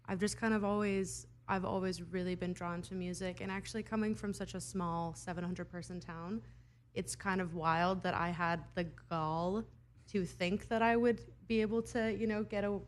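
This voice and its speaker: A woman's voice: mid-range voice, fairly even intonation, moderate pace. A young woman from Idaho, a singer-songwriter answering interview questions in an earnest, thoughtful way with laughs between answers.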